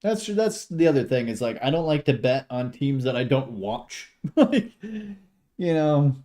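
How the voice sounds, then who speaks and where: Mellow American man commentating football casually. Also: mid-range voice, sing-song, unhurried. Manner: rambling, informal, sweary, talks sports betting slang. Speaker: amateur livestream football play-by-play commentator. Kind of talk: casual play-by-play commentary mixed with chat.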